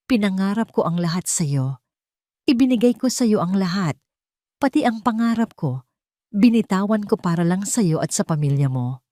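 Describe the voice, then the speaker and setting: Woman acting as a wounded young wife, audio drama, accusing, bitter, mellow tone, close dry sound. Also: medium pitch, animated, moderate pace, dry recording, pitched above the performer's own voice, lightly acted. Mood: hurt. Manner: accusing, pleading rhetorical questions. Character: hurt, defiant betrayed young wife, angry and bitter.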